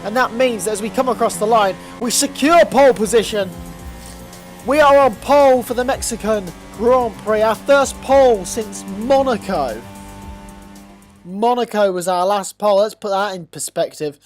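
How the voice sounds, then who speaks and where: Stuffy-nosed gaming commentator. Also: male voice, sits high, faint music behind. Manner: eager, rising to excitement on fast laps. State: stuffy, blocked-up nose. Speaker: gaming channel host. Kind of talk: racing game commentary.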